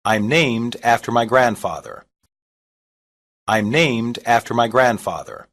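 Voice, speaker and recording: A reader saying short English practice sentences slowly and evenly, each one twice, for a language lesson. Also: mid-range voice, expressive, fairly bright tone. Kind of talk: slow repeated phrase reading.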